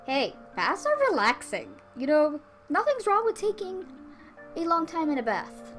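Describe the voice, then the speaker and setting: A woman's voice: very high-pitched, huge pitch swings, a little slow, fairly bright tone, faint music behind, voice pushed up, heavily acted. A woman voicing a dramatic, touchy young male alien soldier in a visual novel, speaking in exclamations. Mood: defensive.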